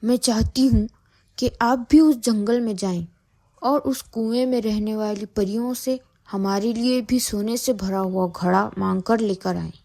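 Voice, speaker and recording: Woman playing a greedy cartoon wife, mellow voice. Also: a little high, measured pace, vocal fry, no room sound. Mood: demanding, annoyed. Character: greedy, demanding neighbour wife, later remorseful. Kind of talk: Hindi cartoon moral story dialogue.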